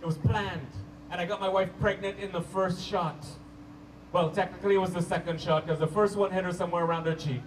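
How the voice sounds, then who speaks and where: A man with a Canadian accent performing stand-up comedy to a live audience, telling stories playfully with drawn-out emphasis and pauses. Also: high voice, steady tone, reverberant. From Toronto, Canada. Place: live comedy show at a park venue. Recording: distant mic, hissy live-show camcorder sound.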